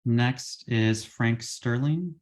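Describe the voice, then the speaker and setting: A man's voice: medium pitch, a little mellow. A man chairing a formal meeting, calling up public speakers in a procedural way. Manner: brisk, procedural.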